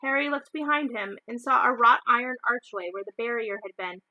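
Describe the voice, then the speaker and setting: Bright-voiced woman reading a story aloud. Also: on the high side, brisk, no room sound, lo-fi sound. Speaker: storybook reader. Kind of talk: children's novel read aloud.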